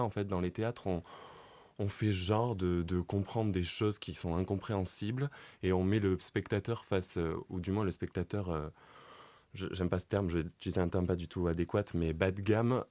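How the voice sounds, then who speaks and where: A man's voice: deep voice, dark tone, no echo. A man co-hosting a talk show, earnest and searching for his words. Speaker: co-host. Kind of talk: casual chat.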